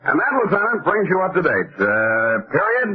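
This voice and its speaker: An actor playing a wry, cynical American private detective in a deadpan 1940s radio style. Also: male voice, fairly high pitch, normal speed, husky. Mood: cool, resolute. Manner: sardonic, deadpan. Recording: thin, muffled vintage radio recording.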